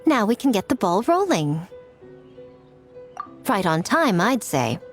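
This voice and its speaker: A woman's voice: medium pitch, sing-song, slow pace. A woman playing a sly, self-assured shrine priestess in a video game, speaking in a teasing, poised way. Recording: faint music behind.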